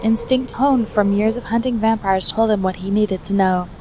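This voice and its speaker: A woman's voice: medium pitch, moderate pace. Woman narrating a story over noticeable noise. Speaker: fan fiction reader, narrator. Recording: noisy hobby recording, steady background noise.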